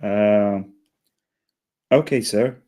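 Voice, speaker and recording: A man's voice: on the low side, very slow, warm tone. A man playing an anxious, eager spaceship crew leader, flustered and hesitant, at a comedy table read.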